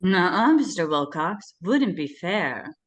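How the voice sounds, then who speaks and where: Lady doing teasing fortune teller in an old radio ad, swoopy pitch, theatrical sales patter. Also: sing-song, slow. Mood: coy, promotional. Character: coy mystical fortune teller pitching car parts. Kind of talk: 1950s radio commercial acting.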